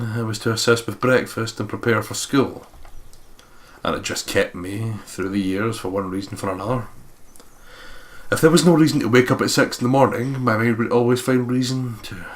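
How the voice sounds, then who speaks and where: Low-voiced man doing a let's play. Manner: swears casually, breaks off into joking asides. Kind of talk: reading visual novel narration aloud with jokey commentary.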